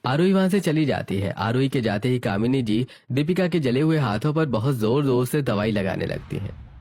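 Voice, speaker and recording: A man's voice: mid-range voice, animated, moderate pace, a little mellow, slightly rough, no room sound. A man reading scripted narration for a family moral story, setting out scenes as the narrator.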